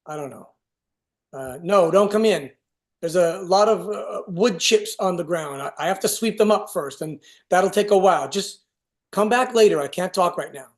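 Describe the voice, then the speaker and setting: A man playing a tired, evasive, ashamed alcoholic father in a staged play reading, speaking haltingly. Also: sits high, animated. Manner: hesitant, broken, halting phrasing.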